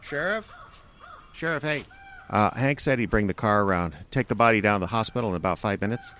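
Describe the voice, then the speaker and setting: A man's voice: on the low side, animated, a little fast, fairly bright tone, a little hiss or hum. An actor playing a deferential deputy, flustered and respectful toward his sheriff. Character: deferential deputy, eager to please. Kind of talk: radio drama acting.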